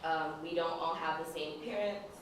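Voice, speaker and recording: Young woman from the dominican republic chatting casually, low voice, lots of ums, big echoey room far from the mic. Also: moderate pace, a little hiss or hum. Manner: relaxed, with many fillers and small laughs. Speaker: speaker sharing her story. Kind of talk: casual share-out talk.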